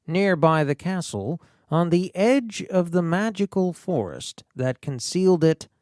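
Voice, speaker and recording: Man narrating a fairy tale, very animated intonation. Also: high voice, a little slow, no room sound, studio quality. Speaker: audiobook narrator.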